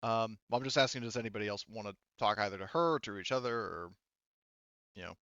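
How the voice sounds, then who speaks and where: A man runs a casual tabletop roleplaying game with a clear, smooth voice. He talks in a rambling, profane way. The sound is dry, with very little room in it. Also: on the low side, fast talker, bright, forceful tone, no echo, dull audio. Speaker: game master.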